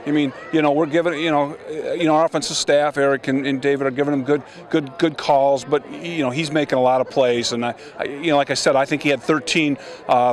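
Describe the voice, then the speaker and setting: A middle-aged man, a football coach, giving a postgame interview outdoors on a field into a handheld mic, talking quickly with many fillers and restarts. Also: medium pitch. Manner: rapid, with many fillers and repeated words. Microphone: handheld microphone.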